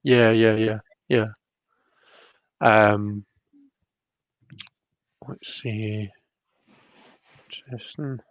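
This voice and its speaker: A man's voice: on the low side, fairly even intonation, extremely slow, long pauses. A man hosting a casual live-stream, chatting in a tentative, apologetic way. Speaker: host of a live tarot reading show. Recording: muffled, roomy home live-stream sound.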